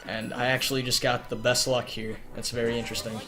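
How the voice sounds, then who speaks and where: A man's voice: medium pitch, flat delivery, quick pace, over music. Young man with a strained, cracking voice. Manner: explanatory, repeats points, filler "uh". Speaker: speedrun guide narrator. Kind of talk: step-by-step gameplay walkthrough. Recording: noisy home recording with keyboard clicks.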